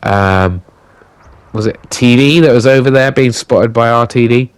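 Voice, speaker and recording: A man from the UK doing a voiceover commentary on a tank game, his voice croaky and his nose blocked from illness, talking haltingly with many fillers and restarts. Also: mid-range voice, no room sound. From United Kingdom. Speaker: gaming commentator.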